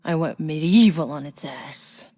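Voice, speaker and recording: A woman's voice: low-pitched, animated, unhurried, darkish tone, breathy edge, long reverb tail, heavily acted. An actress voicing a tough, sardonic female sci-fi soldier in a video game, with a gritty, wry delivery. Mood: dry, wry. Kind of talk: video game voice lines, dramatic acting.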